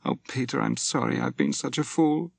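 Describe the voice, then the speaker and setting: A man voicing a young wife and mother who is anxious and protective, her speech pleading and rising to sharp anger. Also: medium pitch, wildly swooping pitch, moderate pace, gravelly, no echo, voice pushed up, exaggerated voice. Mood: tearful.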